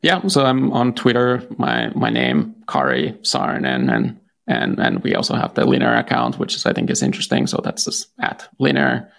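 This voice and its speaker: Finnish man with a gravelly, lively voice. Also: a bass voice, moderate pace, few pauses. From Finland. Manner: hesitant, many fillers and restarts. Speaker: guest, startup founder. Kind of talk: casual interview answers.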